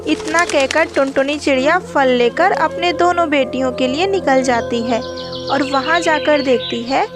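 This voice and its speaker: Very high-pitched woman storyteller. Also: normal speed, music in the background. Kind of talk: scripted children's story narration.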